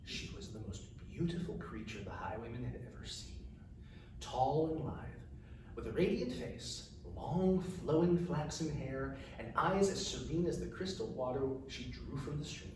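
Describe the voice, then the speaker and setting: An older man speaks as an author presenting his fantasy fiction. His medium-pitched voice comes from well away from the microphone, in a heavily echoing room with faint noise. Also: lively intonation, normal speed, recorded from a distance. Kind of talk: author's reading of a fantasy novel, with an informal introduction.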